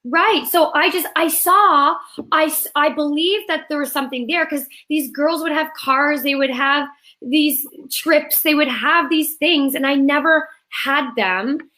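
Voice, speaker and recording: A woman in her thirties from Canada, a little hoarse, cheerfully telling her story as a guest on a live video call. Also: high-pitched, measured pace, pushed and bright. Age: 30s.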